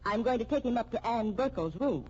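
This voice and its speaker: A woman's voice: a little high, lively intonation, fairly quick, dark tone, a little hiss or hum, lightly acted. An actress playing a shrewd, needling amateur woman sleuth in a 1950s radio mystery, arch and pointed. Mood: confident, accusing. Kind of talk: 1950s radio drama acting. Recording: dull old radio recording.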